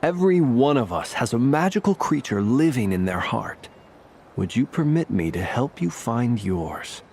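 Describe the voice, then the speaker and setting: A man voicing a courteous gentleman candy maker in a video game, speaking formally and politely with elaborate phrasing. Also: medium pitch, very animated, normal speed, slight background noise. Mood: gently probing. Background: game soundtrack music.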